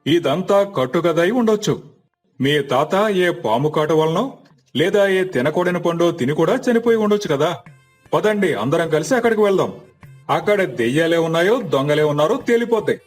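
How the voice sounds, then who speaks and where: A man voicing an authoritative, skeptical village leader with a measured, persuasive manner. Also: on the high side, lively intonation, faint music behind. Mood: skeptical, resolute.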